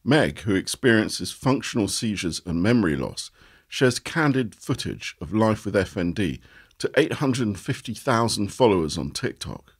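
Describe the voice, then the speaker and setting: Expressive, slightly husky male narrator. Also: lowish voice, normal speed. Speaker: audio article reader. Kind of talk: scripted narration of a first-person essay.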